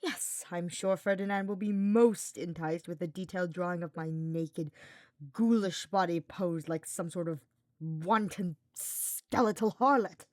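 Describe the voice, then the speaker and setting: Woman voicing a brooding, sardonic male dark mage. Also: on the low side, a little slow, no echo, natural voice. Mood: bitter sarcasm. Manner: formal, biting, dramatic.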